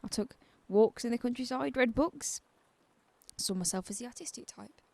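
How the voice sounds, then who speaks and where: A woman's voice: fairly high pitch, normal speed, creaky, darkish tone, no echo. A woman playing a defiant, bitter asylum patient in a radio drama, with a probing, wry edge to her talk. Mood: guarded, reflective.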